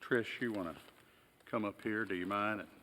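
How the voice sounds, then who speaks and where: Man playing a deep-voiced, low-key small-town sheriff. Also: background noise, lightly acted. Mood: dry, methodical. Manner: deadpan, halting, folksy and polite. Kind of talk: naturalistic film acting.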